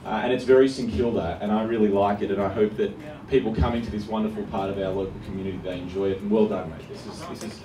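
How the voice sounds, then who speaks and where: A man making a quick congratulatory speech at a café event. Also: fairly low pitch, fairly even intonation. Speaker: event speaker. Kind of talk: speech to a crowd. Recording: echoey, distant, noisy courtyard.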